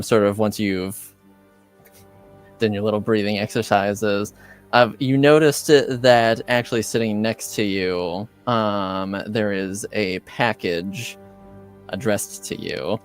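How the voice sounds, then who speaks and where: A man running a tabletop roleplaying game as game master, narrating in a casual, halting way. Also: lowish voice, steady tone, clear, resonant tone. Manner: halting, hesitant, casual delivery. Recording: music behind the voice. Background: music.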